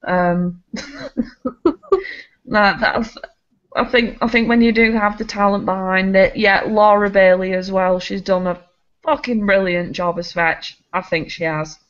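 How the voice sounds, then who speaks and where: A woman co-hosting a casual gaming podcast, chatty and quick to laugh. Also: mid-range voice, steady tone, a bit bright. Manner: chatty, laughs often, restarts sentences. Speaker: podcast co-host. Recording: slightly muffled home recording.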